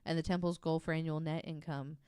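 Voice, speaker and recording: A woman hosting a podcast, casually narrating a researched story from notes in an informal, halting way. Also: sits low, flat delivery, a little mellow, smooth, dry recording, clean studio sound.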